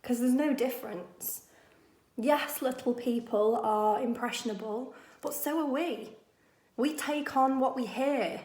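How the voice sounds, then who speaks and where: A woman with a somewhat high voice, husky after a cold, talks straight to her viewers as a coach. She is chatty and encouraging. The room around her rings with echo. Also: a little slow. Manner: chatty and encouraging, addresses viewers warmly as "my loves". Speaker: confidence coach. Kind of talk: casual direct-to-camera advice.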